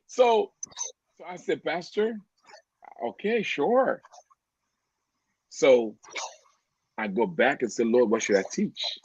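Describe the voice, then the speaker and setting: A man from Cameroon sharing a personal faith testimony as a podcast guest, animated, stumbling over repeated words and laughing often. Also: mid-range voice, breathy edge, dry recording. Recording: dry, slightly dull lo-fi audio.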